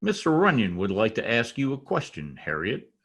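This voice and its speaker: An actor playing a cornered, murderous husband in formal, theatrical 1940s radio drama style. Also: male voice, medium pitch, huge pitch swings, moderate pace, a bit bright, exaggerated voice. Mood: indignant. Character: timid-looking murderous husband, indignant and cornered.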